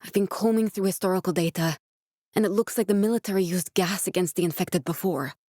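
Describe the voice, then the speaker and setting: A woman's voice: fairly low pitch, brisk, warm tone, no echo, studio quality, lightly acted. An actress voicing a determined, caring young woman scientist in a video game, speaking in an earnest, direct, instructive way with naturalistic dramatic delivery. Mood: matter-of-fact, businesslike.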